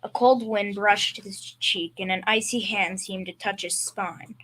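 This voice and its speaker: A woman's voice: medium pitch, fairly even intonation, a little slow, fairly bright tone, low-quality recording. A young female performer playing a blustering, secretly scared father with folksy, hesitant speech in a school old-time radio comedy. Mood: eerie reading. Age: young teen or child.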